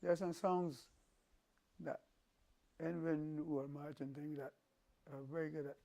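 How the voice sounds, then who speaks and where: Gravelly older man, rambling storyteller. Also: fairly high pitch, a little slow. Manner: rambling, animated storytelling with repeated restarts. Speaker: calypsonian guest. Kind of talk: casual interview storytelling.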